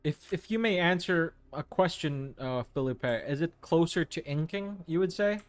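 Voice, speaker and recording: A man's voice: fairly high pitch, lively intonation, normal speed, no room sound. A man hosting a live art stream, reading out chat messages and answering them casually, with some hesitant restarts. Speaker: livestream host. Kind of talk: casual chat with viewers while painting.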